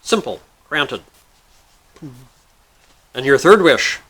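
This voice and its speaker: A man's voice: mid-range voice, sing-song, extremely slow, sharp tone, slightly rough, full character voice, low-quality recording. A man voicing a weary, formal genie in a told joke, speaking in a stately and grudging way. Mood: businesslike.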